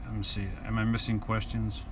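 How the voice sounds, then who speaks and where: A man's voice: lowish voice, completely flat delivery, fairly quick. A man in his forties with a New York accent, chatting easygoing on a live stream from his van and answering viewer questions with many fillers. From New York and New Jersey. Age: 40s. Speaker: vlogger. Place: inside a van. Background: inverter hum.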